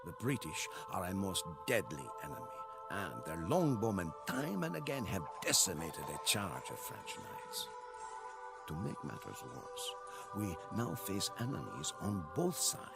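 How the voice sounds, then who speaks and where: Game cutscene narrator: a weary, loyal medieval soldier chronicling a war, deep, solemn, expressive delivery over music. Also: male voice, unhurried, vocal fry, full character voice. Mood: solemn, reflective. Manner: solemn, storyteller-like. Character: weary, devoted French soldier chronicling Joan of Arc's war. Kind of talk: scripted dramatic narration. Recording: music behind the voice.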